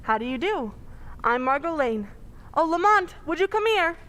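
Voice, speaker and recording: A woman's voice: extremely high pitch, sing-song, normal speed, fairly bright tone, exaggerated voice. An actress playing a poised, curious lady sleuth in old-time radio drama style, with polite, inquisitive delivery. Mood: polite, eager. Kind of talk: 1940s radio drama acting. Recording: echoey hall, faint background noise.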